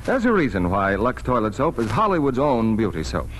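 Animated male 1940s radio announcer. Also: mid-range voice, fairly quick, a little hiss or hum, low-quality recording. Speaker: commercial announcer. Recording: old 1940s radio broadcast recording.

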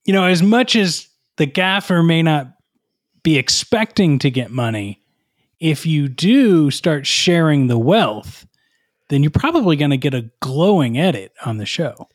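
A man's voice: fairly high pitch, animated, a little slow, dry recording, clean studio sound. A man hosting a podcast, interviewing a comedy character in a playful, wry way. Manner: playful, wry, goes along with the bit. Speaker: podcast host.

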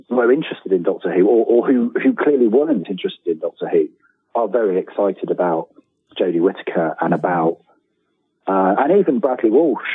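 A British man in middle age speaks as a chatty interview guest over a narrow, phone-like line. His voice is low and somewhat husky, and he tells stories in a self-deprecating way, stumbling into repeated words. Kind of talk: casual anecdotal interview. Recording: thin phone-like line, roomy echo.

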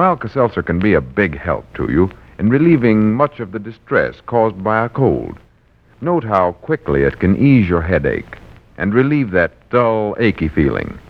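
A man working as a radio announcer, delivering a measured, friendly sponsor message in 1940s style. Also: lowish voice. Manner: friendly direct address, measured. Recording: muffled vintage radio recording.

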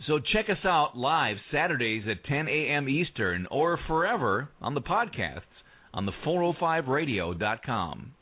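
A man reads a radio promo with wry humor. His pitch moves around a lot. The sound is tinny and phone-like. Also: big pitch swings, a little slow. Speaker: radio host. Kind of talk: promo read.

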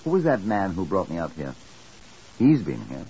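An actor playing a commanding British police detective in a 1950s radio drama, curt and authoritative. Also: male voice, low voice, big pitch swings, normal speed, dark tone, slightly rough, exaggerated voice. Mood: questioning. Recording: muffled, hissy 1950s radio recording.

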